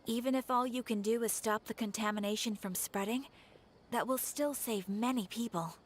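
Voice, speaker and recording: A woman voicing an earnest quest-giver in a video game, formal and measured in her delivery. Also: on the high side, a little hiss or hum, lightly acted. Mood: grave, earnest. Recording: game music behind.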